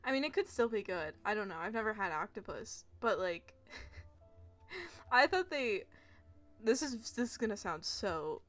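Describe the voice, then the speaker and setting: A woman's voice: medium pitch, sing-song, moderate pace, pauses often, fairly bright tone, faint music behind. A young American woman streaming a video game, chatting casually in a giggly, rambling, self-deprecating way. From United States. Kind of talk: casual gameplay commentary.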